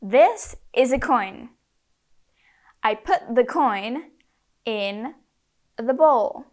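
A young adult woman presenting science to children, narrating a simple English script in a measured, word-by-word way for learners. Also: fairly high pitch, animated, a little hiss or hum.

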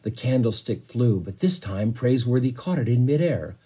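A man in his fifties reading a story aloud, pausing for casual explanations. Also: medium pitch, expressive, mellow, husky. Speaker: teacher reading to a class. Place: small bedroom, indoors.

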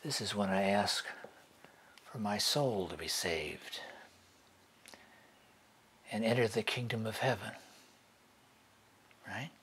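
A man playing a bitter, sardonic convict awaiting execution in a dramatic monologue, taunting and hushed, with long pauses. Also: fairly low pitch, expressive, a little hiss or hum. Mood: bitter, mocking.

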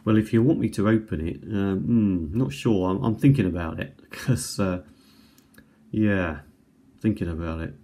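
A man's voice: sits low, moderate pace, mellow. A man giving casual unboxing commentary as a video host, hesitant and musing as he thinks aloud. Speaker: video host reviewing items. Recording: home recording with faint background noise.

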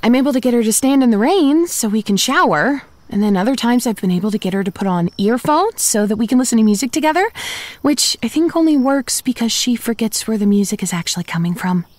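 A woman's voice: on the high side, expressive, fast, a little mellow, no echo. A woman playing a wry, upbeat young female zombie who chats self-deprecatingly to the listener in a comedy audio drama. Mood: wry, confiding. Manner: conversational, self-deprecating, sardonic asides. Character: chatty, wry, upbeat young female zombie narrating her undead life.

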